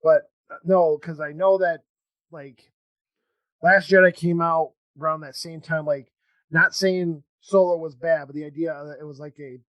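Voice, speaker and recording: A man hosting a podcast in casual conversation, talking in a halting, rambling way as he thinks aloud. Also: a little high, fairly even intonation, dry recording, lo-fi sound. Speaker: podcast host interviewing a guest. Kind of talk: casual chat.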